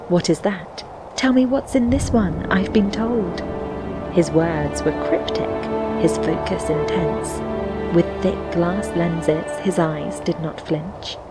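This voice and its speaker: A dreamy, curious female adventurer telling her tale in rhyming verse for a fantasy game. Her voice sits a little low and moves very slowly, with measured, storybook phrasing. Music plays clearly underneath. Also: lively intonation, low-quality recording. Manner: slow, measured storybook recitation. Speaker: narrator and protagonist of a fantasy game. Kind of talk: scripted poetic narration in rhyming verse.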